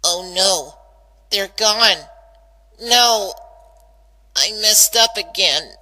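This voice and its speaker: A woman voicing a stubborn young cartoon pony who is frightened and in pain, speaking in an emotional, halting way for a fan-fiction reading. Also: mid-range voice, sharp tone, rough recording. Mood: distressed, self-pitying.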